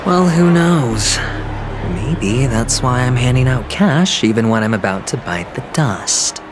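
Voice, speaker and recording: A man's voice: medium pitch, lively intonation, a little slow, noisy background, natural voice. A man playing a flamboyant young gambler in a video game, sly and smug, with teasing, theatrical delivery. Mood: taunting. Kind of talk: scripted video game voice acting. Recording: background music under the voice.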